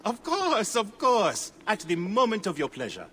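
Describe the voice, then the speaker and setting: An actor playing a genial middle-aged temple priest, courteous and effusive. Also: male voice, sits high, huge pitch swings, unhurried, sharp tone, slight background noise, full character voice.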